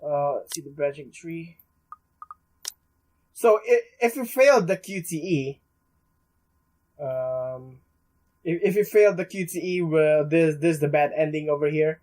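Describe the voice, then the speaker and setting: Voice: mid-range voice, a little slow, pauses often, darkish tone. A let's player reading a visual novel aloud in a dramatic, stumbling way and reacting along the way. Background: game music.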